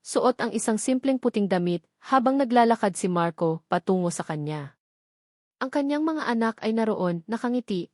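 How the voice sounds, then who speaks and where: A woman's voice: medium pitch, a little mellow, no room sound. Woman narrating in a dry studio sound. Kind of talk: scripted romance story narration.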